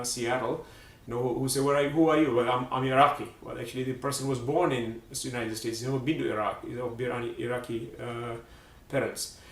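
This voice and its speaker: Man, program host, halting with fillers, mid pitch, echoey, far from mic. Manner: halting, many filler pauses. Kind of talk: live religious program hosting. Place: Seattle area. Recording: roomy, distant mic.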